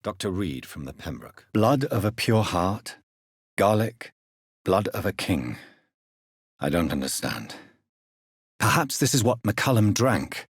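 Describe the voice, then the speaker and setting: An actor playing a brooding gentleman doctor turned vampire in a video game, speaking in a formal, articulate, grave way. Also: on the low side, very animated, a little slow, long pauses, husky, no room sound, studio quality, full character voice. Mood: guarded, inquiring. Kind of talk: dramatic video game dialogue.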